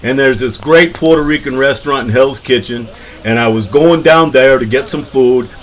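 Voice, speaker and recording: A man's voice: medium pitch, normal speed, close to an everyday voice, rough recording. A man playing a touchy, streetwise werewolf with a New York accent, blunt and grumbling in a comic radio interview. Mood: grumbling storytelling.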